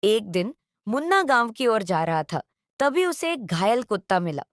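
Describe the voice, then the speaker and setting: A woman's voice: on the high side, sing-song, normal speed, dry recording, studio quality. A woman telling a story as narrator, reading scripted narration. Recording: faint background noise.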